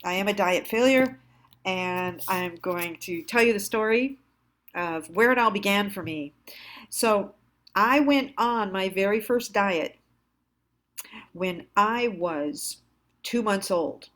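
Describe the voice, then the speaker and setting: A woman hosting a confessional storytime, candid and self-deprecating, speaking haltingly with pauses. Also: medium pitch, a bit bright. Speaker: storyteller, host.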